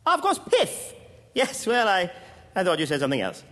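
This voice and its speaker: A man's voice: high voice, huge pitch swings, normal speed, pushed and bright, long reverb tail, full character voice. A man playing a muddled, prudish emperor who is hesitant and apologetic, in a comic radio play. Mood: indignant, flustered. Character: flustered, dim-witted, prudish emperor out of his depth. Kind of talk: full-cast comic radio theatre.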